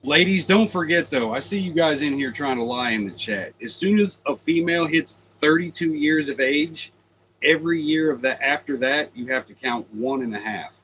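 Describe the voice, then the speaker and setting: A man's voice: fairly high pitch, lively intonation, normal speed, fairly bright tone, a little hiss or hum, rough recording. A man in his forties co-hosting a casual livestream, trading crude joking banter. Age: 40s. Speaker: livestream co-host.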